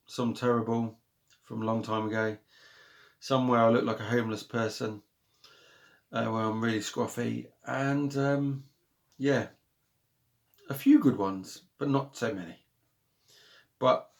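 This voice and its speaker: Male, British accent, relaxed unboxing host. Calm, soothing delivery with a gravelly texture, chatty and rambling, with plenty of ums and restarts. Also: mid-range voice. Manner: rambling and chatty, with many ums and self-corrections. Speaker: vinyl collector and channel host. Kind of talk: casual unboxing chat.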